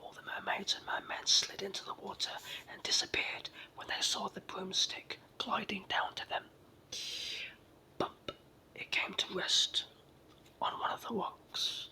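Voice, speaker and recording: Raspy whispered ASMR storyteller with a cold. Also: mid-range voice, flat delivery, unhurried, poor audio. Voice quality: raspy, froggy. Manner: hushed whispering throughout. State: sore throat and a cold. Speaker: storyteller, narrator.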